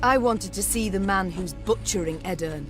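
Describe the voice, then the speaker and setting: A woman's voice: medium pitch, moderate pace, music in the background, natural voice. A woman playing a proud, blunt warrior queen in a video game, stern and commanding. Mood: defiant anger. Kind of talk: dramatic video game acting.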